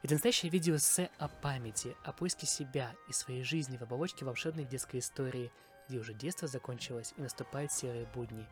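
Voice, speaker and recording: A man's voice: medium pitch, brisk, soft background music. A man from Kazakhstan hosting a podcast, delivering a scripted review monologue. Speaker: podcast host.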